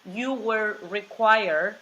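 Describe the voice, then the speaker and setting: A woman from Mexico with a Mexican Spanish accent, speaking English as a second language as an interview guest, talkative and animated, often repeating words and saying "like". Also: mid-range voice, extremely slow, dull audio. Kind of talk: casual interview.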